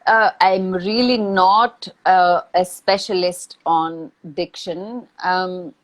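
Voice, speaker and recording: A woman who is not a native English speaker, giving reflective advice in a thoughtful, measured way with deliberate pauses. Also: fairly low pitch, fairly even intonation. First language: not English or Hindi. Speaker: acting teacher, interview guest. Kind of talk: reflective advice in a live online Q&A.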